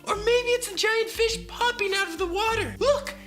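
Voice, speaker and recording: A man voicing an excitable, wide-eyed little girl in a storybook read-aloud, gushing and exclaiming breathlessly. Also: extremely high pitch, moderate pace, soft background music, pitched above the performer's own voice. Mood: amazed.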